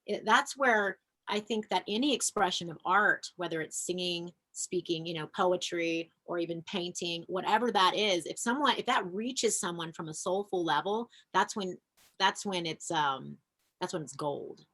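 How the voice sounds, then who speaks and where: A woman musician talking earnestly in a relaxed conversation, reflective, with frequent fillers and restarts. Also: on the low side, moderate pace. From American. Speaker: folk punk musician and music educator. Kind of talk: casual conversation.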